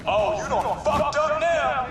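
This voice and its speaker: A man playing an aggressive street thug in a video game, yelling threats and taunts. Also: very high voice, lively intonation, slow, very noisy, heavily acted. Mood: menacing, taunting. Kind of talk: video game combat barks. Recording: loud gunfire behind.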